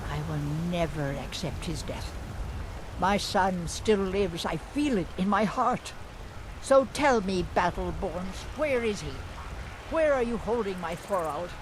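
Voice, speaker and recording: Actress as older fantasy-game trader: proud mother, sharp tongue, medium pitch, faint ambience. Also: lively intonation, a little slow, background noise, natural voice. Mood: grieving, defiant. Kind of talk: fantasy video game acting.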